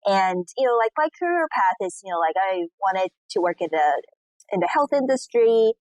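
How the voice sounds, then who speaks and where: A woman's voice: mid-range voice, big pitch swings, normal speed, darkish tone, no echo, rough recording. A woman in her thirties from Taiwan, answering interview questions over a call in a casual way, with many "you know, like" fillers. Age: 30s. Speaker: guest entrepreneur. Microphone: remote call recording.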